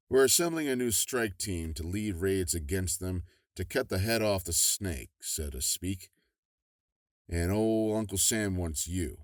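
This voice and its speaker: Brief: man as a smooth, folksy government official, very low voice, dry studio sound. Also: no echo. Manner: affable, persuasive, chuckling. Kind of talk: audio drama acting.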